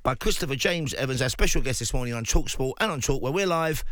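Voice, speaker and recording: A man hosting a live radio talk show, brisk and jokey with his guests. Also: mid-range voice, expressive, fairly bright tone, no echo, clean studio sound. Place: radio studio.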